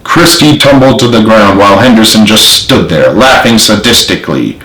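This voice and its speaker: A man's voice: on the low side, expressive, normal speed, bright, forceful tone. A man reading his own novel aloud as author, in dramatic storybook narration. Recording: roomy, echoey home recording.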